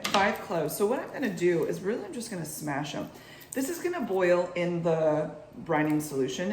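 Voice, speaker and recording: A young woman with a husky morning voice, chatting playfully to viewers in a cook-along vlog from her kitchen. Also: fairly low pitch, background noise. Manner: bubbly and playful, talks to viewers while cooking. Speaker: cooking vlogger. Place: home kitchen. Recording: echoey kitchen.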